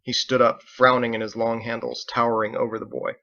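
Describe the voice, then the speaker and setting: A man's voice: mid-range voice, level tone, fairly quick, bright, forceful tone, dull audio. A man reading his own story aloud as scripted audiobook narration.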